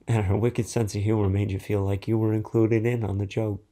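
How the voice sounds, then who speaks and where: A man performing a dramatic monologue as a grieving, tender, subdued mourner with a soft, gravelly voice. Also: fairly low pitch, level tone, fairly quick, natural voice. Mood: fond eulogy. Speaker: actor in a staged reading. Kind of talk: dramatic third-person monologue.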